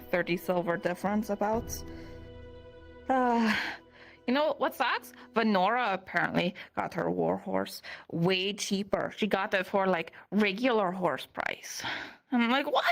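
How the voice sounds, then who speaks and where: A woman's voice: mid-range voice, vocal fry. A woman roleplaying a chatty, silly young fantasy adventurer, talking in a casual, slangy, rambling way. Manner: rambling, giggly, modern slangy speech. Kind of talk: improvised in-character roleplay, casual chat.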